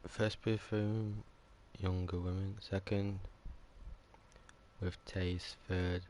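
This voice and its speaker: A man's voice: low-pitched, flat delivery, drawn-out words, darkish tone. A man giving casual gameplay commentary, muttering to himself as he goes. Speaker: gaming streamer. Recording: faint background noise.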